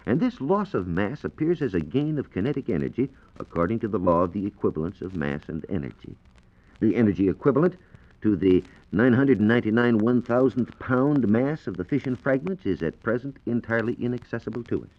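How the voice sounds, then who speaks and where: American man, 1940s radio science explainer, precise, didactic, animated intonation, muffled vintage recording. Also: fairly low pitch. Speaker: science reporter.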